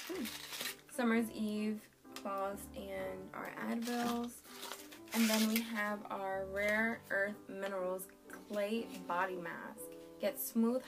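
A young woman talks straight to camera from a small bedroom, her voice croaky and worn from a sore throat. She chats casually and reads product labels aloud as she goes. Music plays clearly underneath her. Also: mid-range voice. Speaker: beauty and lifestyle vlogger. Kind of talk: casual unboxing chat to camera. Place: small bedroom in front of a red wall.

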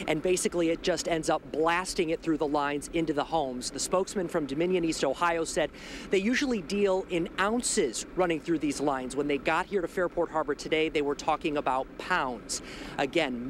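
A man in his thirties reporting live outdoors at night with a handheld mic, brisk and earnest. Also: a little high, noisy background. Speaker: field reporter. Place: outdoors at night in a residential street.

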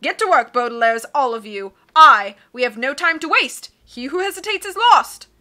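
A woman voicing a loud, hasty male submarine captain who cries out his lines and rambles, reading a storybook aloud. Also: high-pitched, animated, moderate pace. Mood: hearty bluster. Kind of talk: read-aloud storybook acting.

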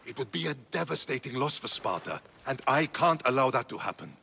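An actor playing a grave, proud middle-aged Spartan general in a video game, measured and earnest. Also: male voice, mid-range voice, big pitch swings, creaky, bright, forceful tone, a little hiss or hum, exaggerated voice. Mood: solemn, urgent. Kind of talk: video game cutscene acting.

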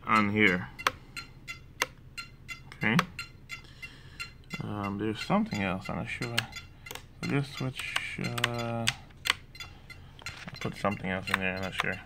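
A man with a Jamaican accent, talking through drone setup software in a hesitant way, full of fillers and restarts. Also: fairly low pitch, vocal fry, background noise. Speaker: hobbyist demonstrating drone software. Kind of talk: casual screen walkthrough. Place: indoors, room with a laptop.

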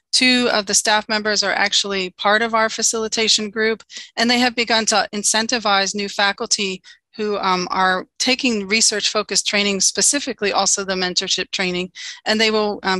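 A woman giving a conference talk over a video call, earnest and steady with frequent ums, now and then asking for the next slide. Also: mid-range voice, dry recording, low-quality recording. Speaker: conference presenter. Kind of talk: virtual conference presentation.

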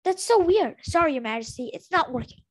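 A woman's voice: high-pitched, animated, brisk. A woman voicing a wary, cheeky young female dragon from a storybook, speaking in a blunt, challenging way. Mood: puzzled, dry.

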